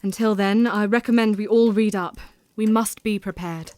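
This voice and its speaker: A woman's voice: medium pitch, steady tone, normal speed, a little mellow, close to an everyday voice. A woman acting in an audio drama as a resolute, grieving young Victorian woman who speaks earnestly and formally. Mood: determined.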